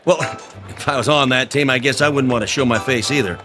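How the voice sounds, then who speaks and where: An actor playing a jaded, sardonic American baseball announcer with showy patter in a radio drama. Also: male voice, medium pitch, brisk, music in the background, lightly acted. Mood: sour, sardonic. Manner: showy on air, sardonic grumbling off it. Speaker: sports announcer. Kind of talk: American radio drama acting, broadcast commentary.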